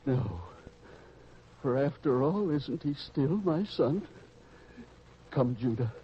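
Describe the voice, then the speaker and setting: An actor playing a loving, gently firm old father in an old-time radio drama, earnest and declamatory. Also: medium pitch, lively intonation, takes it slowly, lo-fi sound, slight background noise. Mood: tender, pleading.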